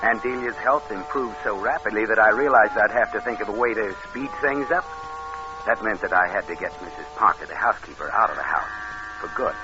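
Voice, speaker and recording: An actor playing a cold-blooded, calculating con man, narrating and talking smoothly in 1940s radio thriller style. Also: on the low side, brisk, over music, natural voice. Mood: cold, matter-of-fact. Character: scheming cold-blooded male con man posing as nephew. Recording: noisy, dull old radio recording.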